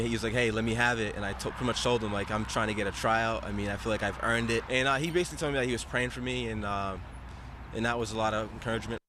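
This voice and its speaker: A young man in his twenties giving an informal interview, telling his story in a hesitant, conversational way. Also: fairly low pitch. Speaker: interviewee, aspiring football player. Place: outdoors near a stadium. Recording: noisy outdoor street with traffic.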